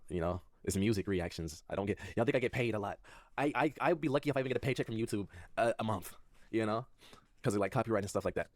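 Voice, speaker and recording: A man in his twenties speaking candidly to his audience, soft-spoken and self-doubting, with nervous laughs. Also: on the low side, fast talker, no room sound. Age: 20s. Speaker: content creator in a clip being reacted to.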